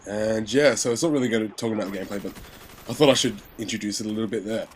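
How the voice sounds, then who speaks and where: A man's voice: lowish voice, racing, slight background noise. Young man rambling, nose still stuffy. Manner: rambling, lots of fillers and restarts. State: stuffy nose, sore voice after illness. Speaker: gaming commentator. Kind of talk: casual game commentary.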